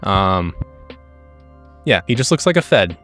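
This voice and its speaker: Man, fairly low voice, tabletop game player, casual improvised description, thinking aloud, faint music. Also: big pitch swings, a little slow, a bit bright. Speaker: player in a role-playing game.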